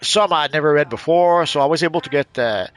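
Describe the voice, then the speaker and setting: A man's voice: on the high side, fast talker, fairly bright tone. Rambling middle-aged man with a Quebec accent. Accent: Quebec French mixed. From Quebec, Canada. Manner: rambling, hesitant, many fillers and restarts. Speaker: gaming channel host. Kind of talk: casual commentary while playing.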